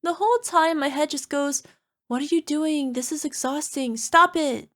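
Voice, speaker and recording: A woman's voice: high-pitched, moderate pace, fairly bright tone. A woman playing an insecure, self-conscious cyclops monster girlfriend in an ASMR roleplay, talking in a dramatic, playfully exasperated way. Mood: grumbling. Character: insecure chubby flying purple people eater girlfriend, a cyclops monster. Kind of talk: ASMR roleplay acting, conversational.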